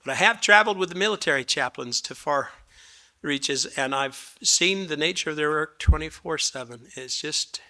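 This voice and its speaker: A raspy man in his sixties moderates a panel, his voice bright and forceful despite the rasp. He speaks graciously and formally, wandering a little as he thanks each guest. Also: a little high, normal speed.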